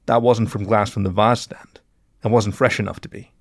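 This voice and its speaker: A man's voice: lowish voice, fairly even intonation, normal speed, no echo, studio quality. A man voicing a stern private detective in a story, questioning in a firm, controlled way. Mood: calm, firm.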